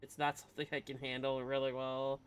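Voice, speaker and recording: A man's voice: mid-range voice, monotone, fairly quick, clean tone, soft background music. A man streaming a video game, giving casual commentary in short, trailing reactions.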